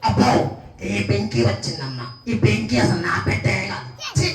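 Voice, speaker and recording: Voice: mid-range voice, big pitch swings, normal speed, lightly acted. A performer voicing a boastful, tempting Satan puppet who wheedles, scoffs and repeats himself in a children's gospel puppet show. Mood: scornful. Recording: echoey hall, distant mic, music and crowd.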